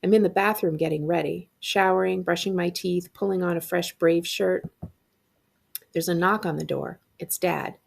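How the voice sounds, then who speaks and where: A woman librarian reading a middle-grade novel aloud in an expressive, acted-out storytelling style. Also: on the low side, normal speed, gravelly, slightly rough.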